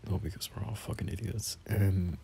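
A young man playing a teasing, bashful male friend in an intimate, casual romance audio roleplay, soft-spoken and halting. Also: sits low, fairly even intonation, vocal fry. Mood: amused, reminiscing. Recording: noticeable background noise.

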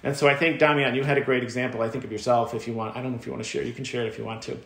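A man's voice: medium pitch, flat delivery, rapid-fire, vocal fry, a bit bright, roomy sound. A man in his forties explaining things conversationally as a panel guest on a video call with earbuds.

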